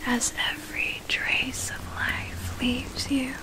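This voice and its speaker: A woman voicing a seductive, possessive vampire in an ASMR roleplay, with a breathy whisper and deliberate, hypnotic, ornate phrasing. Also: high voice, completely flat delivery, rough recording. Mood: hungry, commanding. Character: seductive, possessive vampire turning a captive into immortal.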